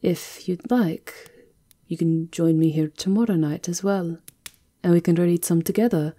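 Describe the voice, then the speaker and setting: A woman acts out an elegant female vampire countess for an ASMR roleplay. Her voice is lowish and mellow, and she speaks very slowly and intimately, with a seductive, teasing languor. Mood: soft, affectionate.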